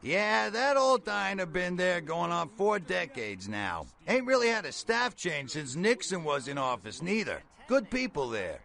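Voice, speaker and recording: A man playing a brash, folksy middle-aged bail bondsman in a video game, with a gravelly voice and blunt, slangy talk. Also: sits high, sing-song, a little slow, few pauses, bright, forceful tone, heavily acted. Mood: chatty. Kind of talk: video game character dialogue.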